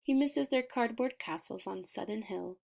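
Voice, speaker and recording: A woman reading a children's picture book aloud expressively, deliberate in her delivery, with dramatic pauses and emphasis. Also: a little high, vocal fry, a little mellow.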